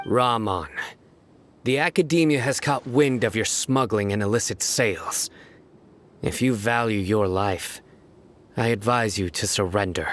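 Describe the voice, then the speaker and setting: An actor playing a stern, authoritative general in a video game, speaking in curt, measured phrases. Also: male voice, on the low side, natural voice. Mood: cold. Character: stern, commanding young male law-enforcement general.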